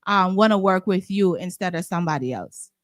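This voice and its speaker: A woman's voice: on the low side, animated, fairly quick, no echo, clean studio sound. A woman hosting a casual interview, encouraging her guest and often repeating that she likes the answer. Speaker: interview host. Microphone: video call.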